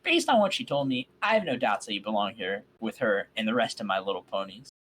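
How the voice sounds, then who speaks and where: A man reading the lines of a regal, gracious female pony princess, in a calm, stately way. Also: on the low side, quick pace, slight background noise, voice pushed down.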